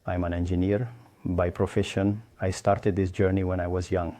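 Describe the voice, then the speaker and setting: A man from Tanzania, an engineer, explaining his background and satellites in a halting way, with pauses and restarts. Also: low-pitched, flat delivery, mellow, dry recording. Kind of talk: explaining his work to camera.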